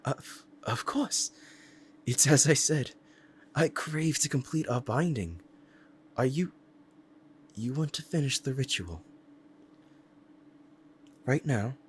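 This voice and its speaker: A man's voice: mid-range voice, lively intonation, takes it slowly, long pauses, a little hiss or hum. A man playing a powerful demon devoted to a beloved master, speaking formally and earnestly in a romantic ASMR roleplay. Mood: astonished, eager yearning. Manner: formal archaic diction, earnest and reverent.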